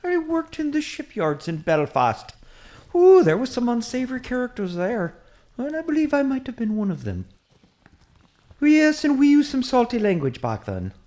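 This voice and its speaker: A woman voicing a chatty, excitable Irish priest, a short man who rambles eagerly in an Irish accent in a comic audio drama. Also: a little high, normal speed. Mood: rambling, curious. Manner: rambling, eager, easily distracted.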